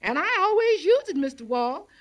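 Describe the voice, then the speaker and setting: Brief: a homey housewife selling a spread, lively sing-song delivery, vintage radio ad. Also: female voice, normal speed. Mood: chatty. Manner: folksy, chatty, sales pitch. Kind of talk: 1940s radio commercial.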